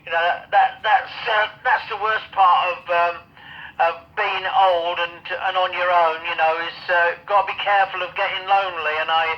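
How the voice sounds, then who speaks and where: An elderly man in his seventies with a high-pitched voice talks in a casual, rambling way, pausing to find his words. He is a radio amateur, and his voice comes through a dull, band-limited radio link. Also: flat delivery. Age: 70s. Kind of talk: casual two-way radio chat. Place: home radio shack in roof space.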